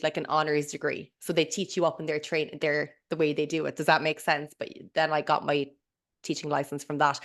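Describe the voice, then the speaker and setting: Chatty low-voiced Irish woman. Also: fairly bright tone. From Ireland. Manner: chatty, rambling, swears and self-interrupts. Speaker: mindset coach, podcast guest. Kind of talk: casual interview chat.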